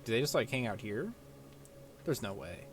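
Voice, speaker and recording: A man's voice: mid-range voice, big pitch swings, moderate pace. A man giving casual playthrough commentary as a gaming video creator, informal, rambling and sweary. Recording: game music behind voice.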